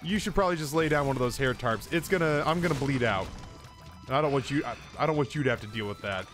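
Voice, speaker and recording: A man around his thirties tells personal stories on a livestream in a casual, comedic, animated way, with profanity and self-deprecation. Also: mid-range voice, fast talker. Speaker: live streamer telling personal stories. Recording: music behind the voice. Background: background music.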